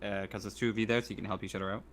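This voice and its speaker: Low-voiced man running a tabletop game. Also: level tone, rapid-fire. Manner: informal, conversational explaining of rules. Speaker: game master. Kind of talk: casual tabletop game narration and rulings. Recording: faint background noise.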